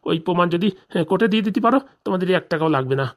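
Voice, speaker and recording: A man's voice: sits high, expressive, racing. A man voicing an indignant, scheming middle-aged villager in a comedy cartoon, grumbling and swearing in rustic colloquial speech. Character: indignant, scheming forty-year-old village man, jilted husband.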